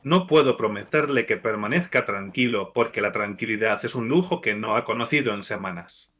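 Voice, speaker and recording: A man's voice: lowish voice, normal speed, fairly bright tone. A man as a tense political messenger.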